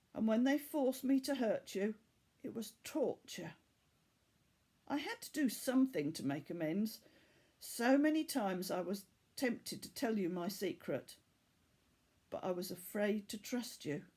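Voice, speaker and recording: A woman reading the part of a weary, earnest boy from Bangladesh in a storybook, telling his story in a measured way. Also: medium pitch, warm tone. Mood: regretful.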